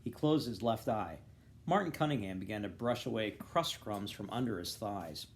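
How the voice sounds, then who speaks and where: Man reading literary fiction, animated delivery. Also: lowish voice, moderate pace. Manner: animated, acts out dialogue. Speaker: volunteer reader. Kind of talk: expressive literary audiobook-style reading.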